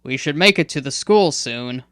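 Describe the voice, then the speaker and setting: A woman voicing a calm, serious man in robes who speaks earnestly and urgently. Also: sits low, normal speed, clear, resonant tone, dry recording, studio quality.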